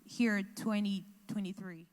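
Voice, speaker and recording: Woman interpreting haltingly in a hall. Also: mid-range voice, fairly even intonation, darkish tone. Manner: halting, restarts while translating. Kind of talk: consecutive interpretation into English. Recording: echoey hall.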